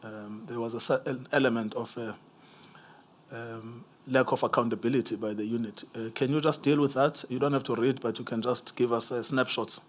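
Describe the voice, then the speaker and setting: A male advocate cross-examines a witness. He speaks in a middle-pitched voice. His measured questioning is broken up by frequent hesitations and filler sounds. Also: fairly even intonation.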